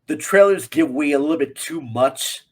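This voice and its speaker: A man speaking as a guest reviewer in a casual film discussion, halting and repeating himself for emphasis. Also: fairly high pitch, a little mellow.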